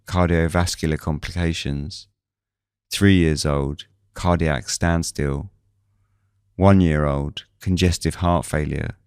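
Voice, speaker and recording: A man's voice: sits low, darkish tone, smooth, no echo, studio quality. A man reading scripted first-person suspense narration as the narrator of a fiction podcast.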